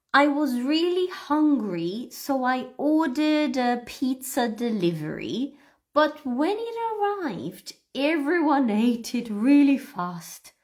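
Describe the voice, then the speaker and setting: Slow-paced, high-voiced woman teaching English. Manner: encouraging, praising learners; deliberate, repeats phrases for learners to copy. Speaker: English teacher hosting a livestream lesson. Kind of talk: read-aloud pronunciation lesson, addressing learners.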